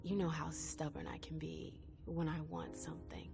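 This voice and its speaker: A female vampire in a video game cutscene, lowish and full of creaky fry. She is stubborn and skeptical with an old hurt, pressing coolly and insistently over prominent music. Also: little pitch movement, normal speed. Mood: skeptical, teasing. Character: stubborn, wounded female vampire, probing her sire. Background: background music.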